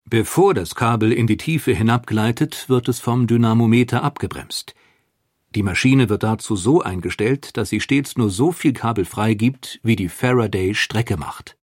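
A man reading a scripted documentary narration in a measured, factual way. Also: lowish voice, no room sound, clean studio sound.

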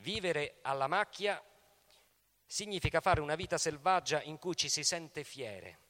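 A man giving dramatic scripted narration for radio theatre, speaking in a measured, deliberate way. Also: on the high side, lively intonation, a bit bright. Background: music, plucked guitar.

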